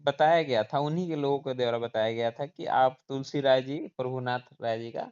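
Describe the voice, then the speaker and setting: A man's voice: mid-range voice, a little fast, a little mellow, clear, resonant tone. A man interviewing over the phone, asking questions deferentially and a little hesitantly. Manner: deferential, frequent short acknowledgements, hesitant questions. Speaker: news channel interviewer. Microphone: phone call. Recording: dull lo-fi phone call recording.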